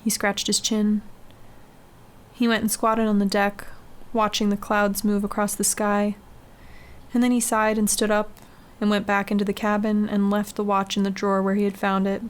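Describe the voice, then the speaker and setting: A woman's voice: medium pitch, monotone, normal speed. A woman reading a scary short story as a scripted audiobook-style narration. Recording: clearly noisy background ambience.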